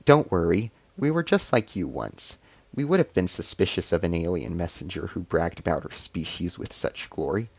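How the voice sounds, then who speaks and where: A man's voice: deep voice, expressive, normal speed, gravelly, a little mellow, breathy edge, no room sound, exaggerated voice. A man voicing a haughty alien female ambassador in a story, explaining and commanding with cold menace.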